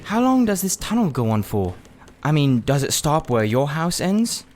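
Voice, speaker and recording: An eager schoolboy from a children's storybook, acted out by a male reader. The voice is pitched up and full of excitable bravado, always keen for adventure. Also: normal speed. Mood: eager, curious.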